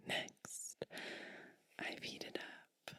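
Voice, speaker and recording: A woman whispering a soothing, attentive ASMR roleplay, breathy and unhurried, speaking directly to the listener. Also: sharp tone. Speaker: ASMR creator playing a gentle witch.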